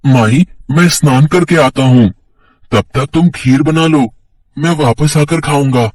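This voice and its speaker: A man playing a gentle, benevolent child-form god in a cartoon story, speaking earnestly and coaxingly. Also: fairly high pitch, a little slow, dry recording, studio quality, far from the mic. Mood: gently instructing.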